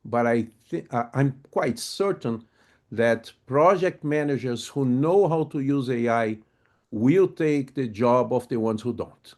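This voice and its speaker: A man's voice: mid-range voice, measured pace, darkish tone. A man with a Brazilian Portuguese accent, who first spoke German and French, giving a friendly webinar talk, often hesitant and full of fillers, on a video call. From grew up in a German- and French-speaking home, moved to Brazil. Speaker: webinar presenter on project management. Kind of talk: live webinar presentation.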